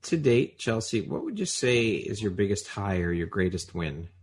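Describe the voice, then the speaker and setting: A woman's voice: very deep voice, sing-song, normal speed, a little mellow, breathy edge. A woman hosting a podcast, asking interview questions and encouraging her guest with short affirmations. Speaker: podcast host.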